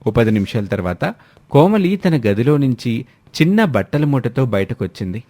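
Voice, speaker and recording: A man's voice: medium pitch, very animated, normal speed, warm tone. A man narrating a scripted family story as a dramatic storyteller.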